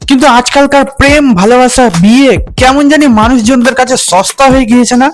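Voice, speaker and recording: A man hosts a roast commentary in a strikingly high voice over a prominent music bed. He teases sarcastically and leans on crude slang. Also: steady tone, fast talker. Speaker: roast video host. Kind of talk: mocking meme-review roast commentary.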